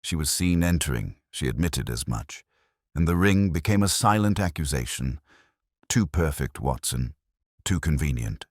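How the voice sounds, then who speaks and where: Man acting as a composed Victorian sleuth, extremely low voice, animated swoops, theatrical, precise, storybook detective. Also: normal speed, no echo, clean studio sound. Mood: composed, lecturing. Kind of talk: audiobook detective story dialogue.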